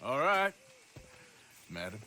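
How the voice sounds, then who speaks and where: A male actor playing a gruff, wry American Old West outlaw in a video game cutscene, dry and sardonic in manner. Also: mid-range voice, very animated, slow, sharp tone, slight background noise, full character voice. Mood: bemused, sardonic.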